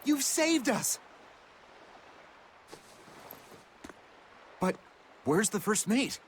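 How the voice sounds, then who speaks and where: Urgent young crewman, high animated voice, exclamatory, game dub, faint background noise. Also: slow pace, heavily acted. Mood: agitated. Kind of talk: English anime game dub.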